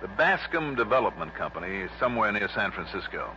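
An actor playing a breezy, wisecracking American insurance investigator in 1960s radio drama style, with a snappy, casual delivery. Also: male voice, lowish voice, very animated, brisk, pushed and bright. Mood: casual, probing. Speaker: lead actor and narrator. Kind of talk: 1960s radio drama acting with first-person narration. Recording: muffled, noisy vintage radio broadcast.

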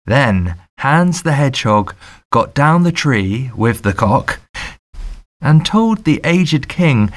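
A man narrating a fairy tale audiobook in a measured, deliberate storytelling manner. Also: mid-range voice.

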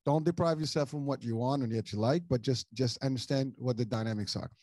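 Guy from morocco hosting a chat, rambles and repeats himself, warm mellow voice. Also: mid-range voice, fairly quick, dry recording, studio quality. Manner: rambling, many restarts and repeated words. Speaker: podcast host. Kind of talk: casual conversational interview.

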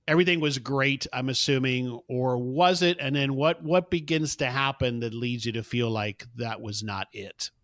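A man's voice: mid-range voice, fairly even intonation, normal speed, few pauses, fairly bright tone, no room sound. Man in his fifties, dry close sound. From South Florida, US. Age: 50s. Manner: friendly, conversational questioning. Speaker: podcast host. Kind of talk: casual interview chat.

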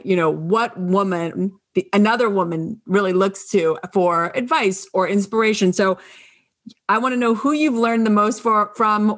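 A woman hosting an interview, asking questions and reacting with enthusiasm. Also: mid-range voice. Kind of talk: conversational interview.

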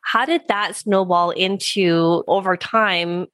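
A woman hosting a podcast, reading a scripted introduction and then asking interview questions in a friendly, measured way. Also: on the low side, fairly even intonation, fairly bright tone, no room sound. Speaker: podcast host. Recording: dry close sound with faint music.

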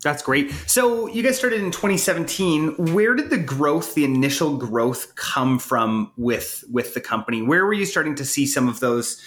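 A man's voice: fairly high pitch, expressive, normal speed, few pauses, fairly bright tone. A man hosting a business podcast, upbeat and joking as he asks questions. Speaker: podcast host. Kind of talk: podcast interview and ad read.

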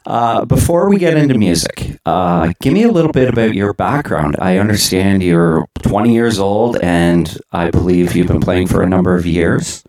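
A man hosting a casual interview, chatty and relaxed, with frequent ums and restarts. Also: sits low, normal speed, few pauses. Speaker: host. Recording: echoey room.

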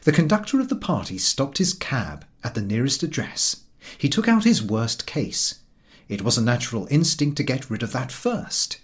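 Man narrating with highly animated pitch. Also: moderate pace. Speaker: audiobook reader. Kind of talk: scripted literary narration of a humorous story.

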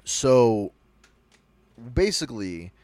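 A young man with a naturally loud voice, telling a personal story on a podcast in a rambling, animated way. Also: mid-range voice, extremely slow. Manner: animated, rambling, with frequent restarts. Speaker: podcast co-host. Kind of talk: casual storytelling.

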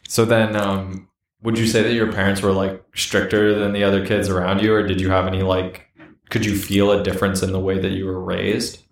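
A man's voice: sits low, little pitch movement, brisk, creaky. A man interviewing someone in a casual, conversational way, recorded in a roomy, slightly noisy space. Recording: roomy echo, noticeable noise.

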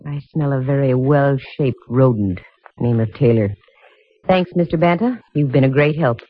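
A woman's voice: rumbling low, normal speed, noisy background. An actress playing a wry, confident lady private investigator in a 1950s radio detective drama. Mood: brisk, wry. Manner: wry, slangy, cool. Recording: muffled old radio, music behind.

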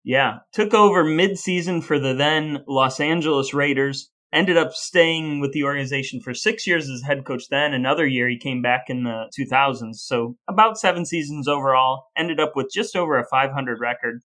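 A man's voice: mid-range voice, moderate pace, hardly any pauses, sharp tone. An American man co-hosting a podcast in a conversational style. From United States. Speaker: podcast co-host.

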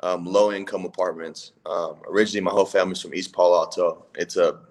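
A man in his twenties from California, a college football player chatting in a relaxed, easygoing way as a guest. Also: deep voice, quick pace. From California, USA. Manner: relaxed, laid-back, hedging with "if that makes sense". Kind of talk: casual interview chat.

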